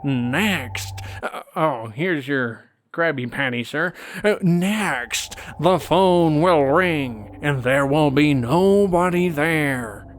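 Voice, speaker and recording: A man voicing a droll, sour cartoon squid with drawn-out, dramatic storytelling. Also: a little high, lively intonation, soft background music. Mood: mock spooky. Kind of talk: amateur cartoon voice acting.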